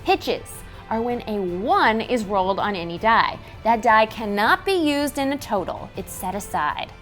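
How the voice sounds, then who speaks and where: A woman in her thirties giving scripted instructional narration as a host, with animated, clearly enunciated presenter delivery. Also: on the high side, measured pace. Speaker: tabletop game show host explaining rules. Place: dressed indoor studio set. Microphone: clip-on lapel mic. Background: background music.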